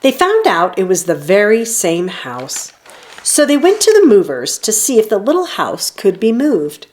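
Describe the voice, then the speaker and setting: A woman reading a children's story aloud in an expressive storytelling manner, leaning on key phrases. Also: mid-range voice, measured pace. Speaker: storybook reader. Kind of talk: children's read-aloud narration.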